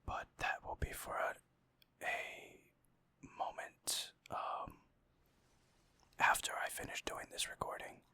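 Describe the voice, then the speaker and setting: A man's voice: takes it slowly, long pauses, sharp tone, no room sound. A young man whispering close to the mic in a rambling, casual ASMR chat over gameplay. He mocks himself and swears now and then. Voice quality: breathy whisper. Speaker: ASMR gaming creator.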